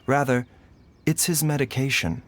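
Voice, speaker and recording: A man voicing a calm, learned physician in a video game, speaking softly, precisely and formally. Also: medium pitch, moderate pace, slight background noise, natural voice. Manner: soft-spoken, precise, formal. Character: calm, learned male physician, gentle and composed. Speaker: doctor.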